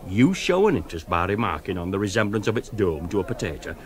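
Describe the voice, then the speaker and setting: Actor as an aggrieved older uncle, rambling, swinging up and down in pitch. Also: male voice, sing-song, quick pace. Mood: grumbling. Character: grumbling older northern uncle, set in his ways.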